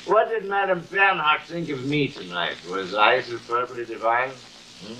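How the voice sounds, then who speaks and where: A man's voice: medium pitch, normal speed, pushed and bright, natural voice, poor audio. A middle-aged actor playing a vain, cruel, drunken stage-actor father in a grandiose, slurred, taunting way, in theatrical 1950s television style. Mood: needling. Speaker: actor in a television horror drama. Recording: hissy old 1950s television soundtrack.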